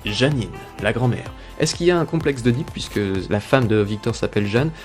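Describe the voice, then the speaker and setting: A man's voice: medium pitch, expressive, a little fast, soft background music. A man reading scripted narration for a video essay presenting cartoon characters.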